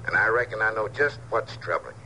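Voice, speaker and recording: Bright, lively folksy swindler. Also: male voice, lowish voice, brisk, exaggerated voice, rough recording. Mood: falsely sympathetic. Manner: folksy, ingratiating. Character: smooth-talking folksy swindler feigning kindly friendship. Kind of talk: 1950s radio drama acting. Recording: old radio recording, faint hiss.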